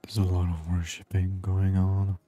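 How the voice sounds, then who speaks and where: A man giving soft-spoken ASMR gameplay commentary in a hushed, unhurried murmur, at times near a whisper. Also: low-pitched, flat delivery, faint music behind. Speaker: gaming video host.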